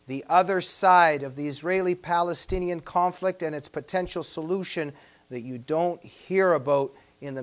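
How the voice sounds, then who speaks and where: A man speaking as a talk show host, introducing and questioning a guest in an interview. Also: a little high.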